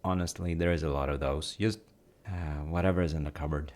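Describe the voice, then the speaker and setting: A man playing a gentle, playful dad in a cozy baking audio roleplay, talking casually and softly to the listener. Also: extremely low pitch, steady tone, brisk. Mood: cozy, gently playful. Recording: faint noise, kitchen sounds.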